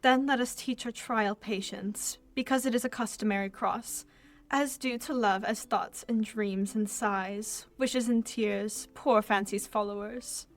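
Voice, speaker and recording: A woman's voice: medium pitch, steady tone, natural voice. A young woman playing a devoted young Athenian lady in love, reciting Shakespearean verse earnestly in a radio play. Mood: sorrowful, resolute. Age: young adult. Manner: earnest, measured verse delivery.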